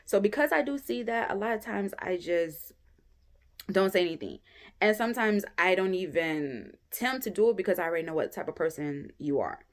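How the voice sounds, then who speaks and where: A young woman talking candidly to camera in a casual vlog, with frequent "um" pauses and a pace that keeps shifting between quick and drawn out. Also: mid-range voice, lively intonation. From United States. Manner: candid and chatty, many "um" pauses, speeds up and slows down. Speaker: vlogger.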